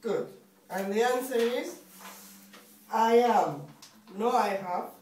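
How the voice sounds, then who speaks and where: A young woman from Spain teaching a beginner English class, speaking slowly and patiently and repeating each question for her students. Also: fairly high pitch, darkish tone. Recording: echoey room, far from mic, faint hiss.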